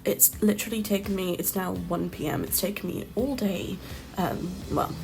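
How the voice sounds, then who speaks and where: A woman's voice: mid-range voice, fairly even intonation, moderate pace, creaky, over music, poor audio. A woman chatting casually in a voiceover over her game build, slightly nasal from hay fever, with lots of ums and little laughs. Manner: chatty, rambling, frequent ums and soft laughs. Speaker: Sims builder and content creator. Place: home room with street traffic outside. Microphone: home voiceover mic.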